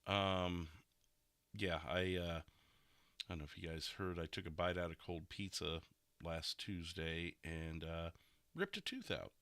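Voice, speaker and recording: Man, low forceful voice, casual podcast host, rambling delivery, close dry sound. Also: lively intonation, unhurried, no echo. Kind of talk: casual chat.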